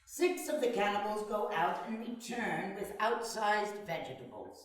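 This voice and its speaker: A woman's voice: medium pitch, big pitch swings, a little slow. A woman reading stage directions as narrator in a dramatic live play reading. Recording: echoey hall, far from mic.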